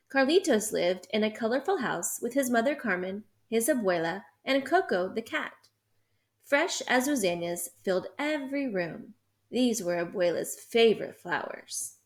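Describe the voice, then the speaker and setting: A woman, a school teacher, reading a children's picture book aloud with expressive, animated storytelling. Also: mid-range voice.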